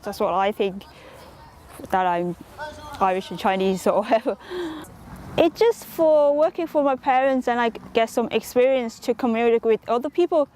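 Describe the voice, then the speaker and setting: A woman answering interview questions haltingly, often searching for her words. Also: fairly high pitch, expressive, darkish tone, a little hiss or hum.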